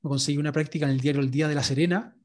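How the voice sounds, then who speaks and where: A sports journalist being interviewed, telling his story in a relaxed way, with many fillers and restarts. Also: fairly high pitch, rapid-fire. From Chile. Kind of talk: interview answers.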